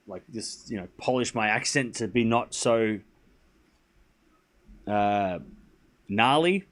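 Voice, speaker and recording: A man with a light Australian accent calling tennis on a live stream, getting excited on rallies and muttering between points while answering chat. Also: on the low side, slow pace. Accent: Australian, softened. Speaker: streamer commentator. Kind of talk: live play-by-play commentary with chat.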